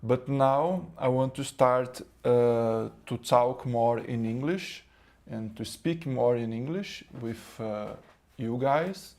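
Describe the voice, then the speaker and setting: A man with a Brazilian Portuguese accent, a spiritual teacher speaking to camera in English, his second language, halting and searching for words. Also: medium pitch, a little mellow.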